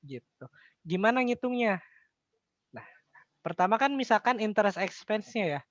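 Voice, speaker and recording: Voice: medium pitch, expressive, normal speed, dry recording. Casual Indonesian tutor, dry muffled sound. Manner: casual, colloquial, step-by-step. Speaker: accounting tutor. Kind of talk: informal tutoring explanation with rhetorical questions.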